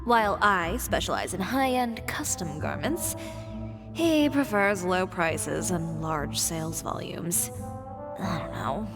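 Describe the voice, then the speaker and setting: A woman's voice: fairly low pitch, expressive, takes it slowly, over music. A woman playing a composed, shrewd young fashion designer in a video game, speaking in a cool, businesslike, self-assured way. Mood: cool, dry.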